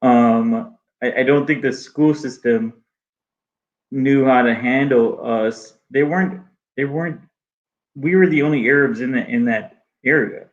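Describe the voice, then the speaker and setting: A man whose first language is Arabic, speaking haltingly with many restarts as a guest in a casual interview. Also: medium pitch, muffled recording. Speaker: guest artist.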